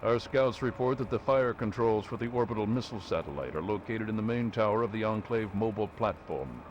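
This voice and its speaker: A man's voice: fairly low pitch, expressive, lo-fi sound, lightly acted. Man as a composed military officer, noisy backdrop. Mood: resolute. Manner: measured, authoritative, formal. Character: stern, composed male military officer briefing a soldier. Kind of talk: scripted mission briefing.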